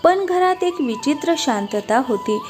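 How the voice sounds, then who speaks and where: A woman's voice: sits high, expressive, measured pace. A woman reading scripted narration for a cartoon moral story. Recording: music behind the voice.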